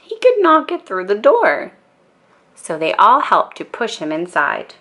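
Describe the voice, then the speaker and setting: A woman storyteller narrating a children's bedtime book in a calm, expressive and deliberate way. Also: medium pitch.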